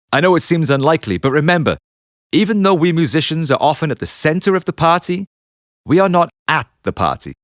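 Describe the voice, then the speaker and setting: A man reading his own memoir as an audiobook, in an expressive, confessional storytelling manner with dramatic pauses. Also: mid-range voice, no room sound, clean studio sound. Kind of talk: scripted memoir narration. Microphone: close, dry studio narration mic.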